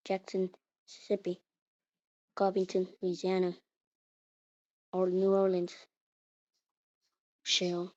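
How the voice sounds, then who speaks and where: A woman delivers a homemade-sounding emergency alert in a flat, low-pitched voice. She speaks haltingly and very slowly, repeating phrases as she goes. The recording is dull and lo-fi. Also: no room sound. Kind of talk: improvised mock emergency alert announcement. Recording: muffled lo-fi recording.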